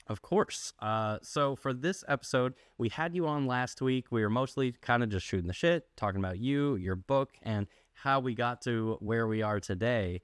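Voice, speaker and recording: A man's voice: mid-range voice, normal speed, few pauses, clear, resonant tone, dry recording, studio quality. A man hosting a podcast, interviewing a guest in an informal, plain-spoken way. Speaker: podcast host. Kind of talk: casual interview.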